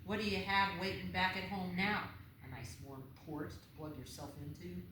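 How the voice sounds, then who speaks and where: A woman's voice: on the low side, fairly even intonation, normal speed, pushed and bright. A woman playing a taunting, smug little demon punk girl, mocking and flippant, in a screenplay table read. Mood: cruel taunting. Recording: very echoey room, clear background noise.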